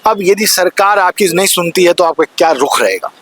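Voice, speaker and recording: A man's voice: sits high, expressive, fairly quick, fairly bright tone, a little hiss or hum. A male reporter interviewing protesters on the street, firing probing and provocative questions.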